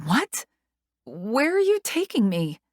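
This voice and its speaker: A woman's voice: on the high side, huge pitch swings, slow pace, no room sound, clean studio sound, pitched above the performer's own voice, exaggerated voice. A woman voicing a desperate, angry young woman patient who screams and pleads. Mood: frantic.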